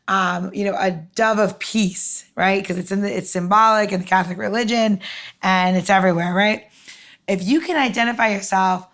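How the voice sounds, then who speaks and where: A woman with a medium-pitched voice co-hosts a podcast. She talks casually and informally, in a chatty conversational style. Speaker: podcast co-host.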